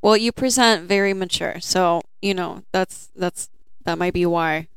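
A woman's voice: fairly low pitch, unhurried, smooth, no echo. A woman in her twenties hosting an interview, chatting casually with many fillers. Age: 20s. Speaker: podcast host.

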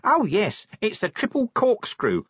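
A man's voice: sits high, huge pitch swings, a little slow, a bit bright, husky, dry recording, highs cut off, voice pushed up, heavily acted. A man voicing a cheeky, grubby male watch corporal in an audiobook, chatty and matey in informal working-class speech. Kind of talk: audiobook character voice.

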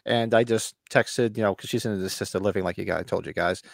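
A man's voice: fairly low pitch, fast talker, gravelly, no echo. A middle-aged man with a stuffy, sniffly nose from allergies, a podcast co-host rambling and telling stories in casual chat over a remote call. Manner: rambling, animated storyteller.

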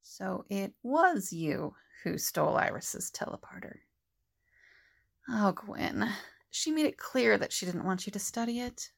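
A woman's voice: mid-range voice, very animated, measured pace, vocal fry, heavily acted. A woman playing an earnest, level-headed team leader in an audio drama. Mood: disappointed.

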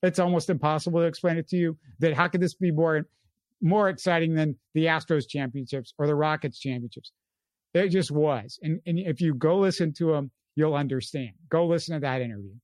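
A man's voice: a little high, brisk, a little mellow, no room sound. A man hosting a sports show, talking casually and earnestly, repeating phrases for emphasis. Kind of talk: casual sports talk commentary.

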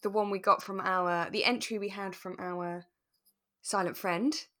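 A woman co-hosting a podcast in relaxed, conversational style, talking thoughtfully and a little tentatively. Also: medium pitch, a little slow. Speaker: podcast co-host.

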